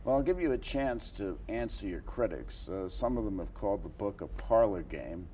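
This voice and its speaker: An older man hosting an interview in a formal talk-show style, halting and deliberate, pausing often between phrases. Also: lowish voice, animated, dark tone, a little hiss or hum. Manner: halting, deliberate, frequent pauses. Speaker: interview host.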